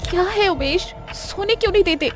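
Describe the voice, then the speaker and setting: A woman's voice: very high voice, a little fast, over music. A woman playing a determined young investigator who talks to spirits, firm and direct, in a cartoon. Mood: annoyed. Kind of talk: dramatic cartoon dialogue.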